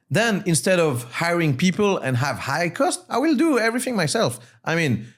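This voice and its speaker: A man from Mauritius speaking as an interview guest, an entrepreneur explaining his views in short, emphatic, repeated phrases. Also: mid-range voice. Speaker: guest, startup founder and CEO.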